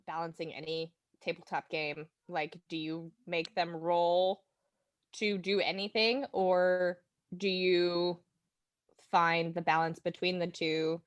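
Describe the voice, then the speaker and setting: A woman's voice: on the low side, little pitch movement, takes it slowly, clear, resonant tone, no room sound. American woman chatting casually, dry close sound. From Oklahoma, United States. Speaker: podcast guest and fan. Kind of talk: casual podcast chat.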